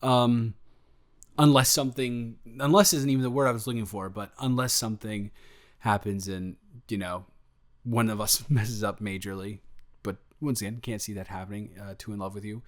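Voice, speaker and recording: A young man playing an affectionate, excitable, goofy boyfriend in an improvised roleplay audio, chatting casually and effusively in rambling, slangy, stumbling speech. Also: fairly low pitch, moderate pace, natural voice. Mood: flustered, affectionate.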